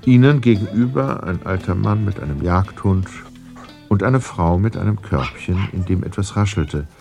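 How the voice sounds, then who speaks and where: A man's voice: low voice, moderate pace, creaky, over music. A man reading scripted narration for an audio drama.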